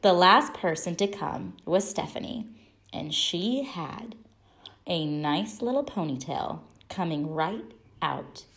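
A woman reads a children's story aloud. Her delivery is slow and deliberate, with lively, expressive swings of pitch and dramatic pauses. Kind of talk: children's picture book read-aloud.

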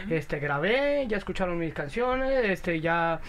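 A man's voice: high-pitched, lively intonation, unhurried, a bit bright, a little hiss or hum. A Mexican man in his twenties, a band member guest in a podcast studio, cheerful and laughing easily. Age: 20s.

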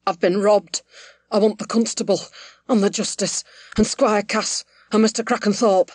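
A woman's voice: fairly low pitch, expressive, fairly quick, dry recording, close to an everyday voice. A woman voicing a distraught village weaver, a man who gasps and entreats as a storybook character. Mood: gasping, distraught. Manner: gasping, entreating.

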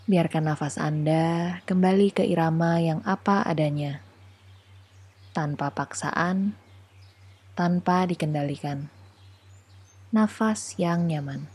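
A woman guides a meditation as an instructor. Her voice is low and dark, with a soft husky edge. She speaks very slowly and soothingly, giving each short phrase room before the next. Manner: soothing, unhurried, gently paced phrases with pauses.

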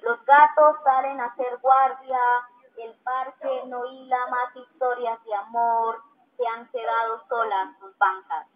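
A woman's voice: a little high, steady tone, slow. A woman reciting poetry as a reader at a public event in an outdoor park. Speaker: poet reading in public. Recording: tinny band-limited megaphone, noisy outdoor ambience.

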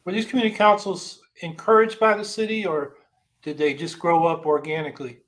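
A man's voice: fairly high pitch, level tone, brisk, fairly bright tone. An older man hosting a podcast, interviewing a guest over a video call, engaged and thoughtful in his questions. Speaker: podcast host. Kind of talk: casual interview on a livestream. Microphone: video call webcam.

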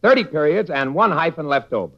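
Animated sportsman with literary airs. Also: on the high side, fairly quick. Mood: earnest, proud. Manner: proudly explains trivia with mock gentility. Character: earnest baseball man putting on refined literary airs. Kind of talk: 1940s radio comedy acting. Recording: muffled, faintly noisy 1940s radio recording.

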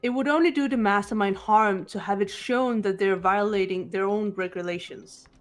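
A woman voicing a composed, analytical teenage girl in a measured, formal way for a fan-voiced visual novel. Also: mid-range voice, steady tone, faint music behind, close to an everyday voice. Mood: calm, confident.

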